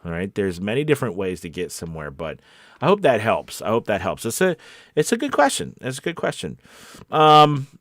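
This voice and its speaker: A man with a fairly low voice hosts a casual question and answer talk as a coach. He speaks candidly and encouragingly, often repeating a point for emphasis. The sound is close and dry. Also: wildly swooping pitch, fairly quick, a bit bright, no echo. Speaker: voice actor and coach hosting a live Q&A. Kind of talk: casual, off-the-cuff advice talk.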